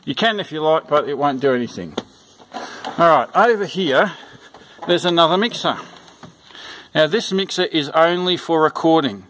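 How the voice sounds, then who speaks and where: A man's voice: on the high side, a bit bright, slight background noise. Plain-spoken man giving a walkthrough. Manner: plain-spoken, halting, repeats instructions. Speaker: church sound system instructor. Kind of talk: informal unscripted walkthrough demonstration. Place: indoors in a church.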